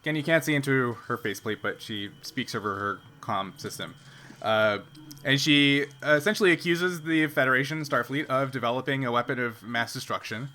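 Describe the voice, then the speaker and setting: A man narrating a tabletop game as game master, halting as he thinks aloud. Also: medium pitch, bright, forceful tone, a little hiss or hum.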